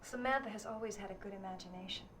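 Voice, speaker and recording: A woman's voice: medium pitch, fast, background noise, natural voice. An actress playing a defensive, evasive mother with a curt delivery in a live-action video game. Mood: defensive denial.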